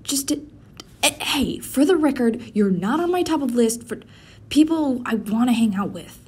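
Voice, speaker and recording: A man's voice: expressive, normal speed, a little mellow. A man playing a prickly, flustered office worker who hides a crush, stammering and grumbling defensively in a tsundere roleplay addressed to the listener. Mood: defensive, snappy. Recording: faint rumbling office and city background noise.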